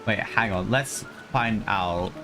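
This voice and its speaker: A man's voice: on the low side, takes it slowly. A man doing casual livestream game commentary, hesitant, thinking aloud and repeating himself. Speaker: game streamer. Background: game music.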